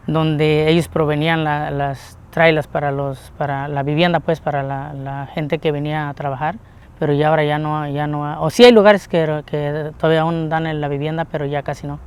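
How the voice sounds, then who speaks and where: A middle-aged man from Mexico who works at a food plant, speaking plainly and haltingly in a documentary interview. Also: a little high, level tone, clean tone. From Mexico (Guanajuato). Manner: halting, plain-spoken, repeats words as he searches. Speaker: onion plant food-safety worker.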